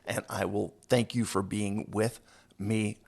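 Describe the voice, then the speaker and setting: A man hosting a podcast while hoarse from a cold, talking in an upbeat, self-deprecating, joking way. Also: on the low side, fairly even intonation, takes it slowly. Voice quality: raspy, gravelly. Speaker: podcast host. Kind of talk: casual interview chat.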